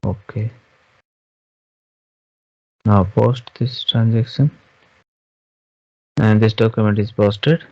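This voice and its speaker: A man teaching software step by step in an informal screen-share tutorial, halting and often checking understanding with questions. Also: lowish voice, fairly even intonation, no echo. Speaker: SAP software trainer. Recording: dull, lo-fi online class audio.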